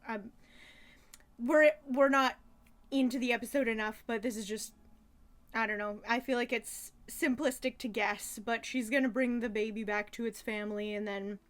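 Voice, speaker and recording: A woman giving casual, rambling commentary as a reviewer, gushing and emphatic in an animated way. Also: a little high, moderate pace. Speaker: reviewer reacting to a childhood cartoon.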